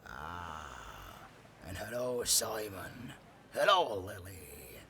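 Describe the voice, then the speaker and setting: An actor playing a gruff, wry seafarer with a gravelly voice in an audio drama. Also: male voice, low voice, sing-song, drawn-out words, bright, forceful tone, a little hiss or hum, exaggerated voice. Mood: wry greeting.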